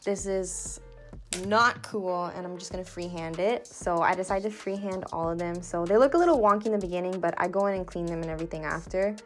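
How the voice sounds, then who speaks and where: A woman's voice: fairly low pitch, a little fast. A young adult woman giving a casual, chatty, informal voiceover as a painter, self-deprecating and giggly in manner. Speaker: painter and vlogger presenting her own painting process. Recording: music behind the voice.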